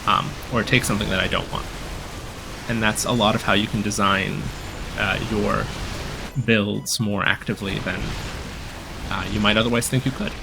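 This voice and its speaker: Gravelly-voiced man explaining game builds. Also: fairly low pitch, normal speed, long pauses, background noise. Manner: relaxed, explanatory, frequent ums. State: slightly hoarse from a cold. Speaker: gameplay guide commentator. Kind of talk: casual gameplay commentary.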